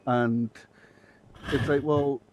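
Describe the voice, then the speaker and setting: A man's voice: mid-range voice, steady tone, drawn-out words, a little mellow, slight background noise, poor audio. A man from Northeast England with a matching accent, talking bluntly in a loose, rambling way and swearing freely as a podcast guest. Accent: Northeast English. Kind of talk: casual podcast chat.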